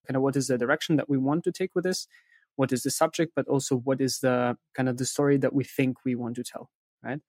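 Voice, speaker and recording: A man's voice: mid-range voice, a little mellow, dry recording, clean studio sound. A man giving thoughtful, conversational explanations as an interview guest, often checking in with a questioning tag at the end of statements. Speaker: interview guest, content agency specialist.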